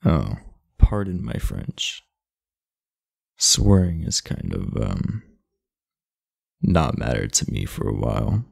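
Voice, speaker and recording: Man playing a deep, gravelly teenage delinquent boy. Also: sing-song, slow pace, long pauses, no room sound, clean studio sound, heavily acted. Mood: sardonic, guarded. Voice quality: gravelly, low. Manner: blunt, sardonic, guarded. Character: aloof, irritable, sleepy teenage boy, class delinquent. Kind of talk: ASMR roleplay, speaking to the listener.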